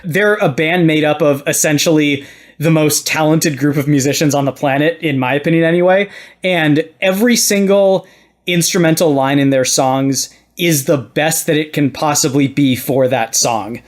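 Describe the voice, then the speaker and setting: Higher-voiced man hosting a podcast. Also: moderate pace. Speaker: podcast host. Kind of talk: casual discussion.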